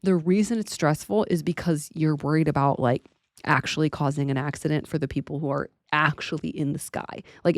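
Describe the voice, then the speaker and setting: An American woman with a low voice talks straight to her viewers in a casual vlog style. She is blunt and colloquial, leaning hard on her points, and the sound is close and dry. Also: a little mellow, dry recording. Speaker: aviation vlogger and pilot. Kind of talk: casual opinion commentary to viewers.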